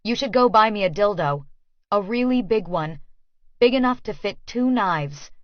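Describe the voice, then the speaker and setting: A woman's voice: mid-range voice, lively intonation, moderate pace, no echo, lo-fi sound, natural voice. A woman voicing a bold, clever, cheeky female schemer in audiobook dialogue, speaking matter-of-factly.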